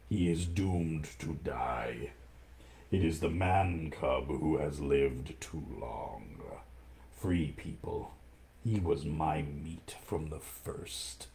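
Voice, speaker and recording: A man voicing a cruel, menacing tiger in a low growling whisper. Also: creaky, mellow, full character voice.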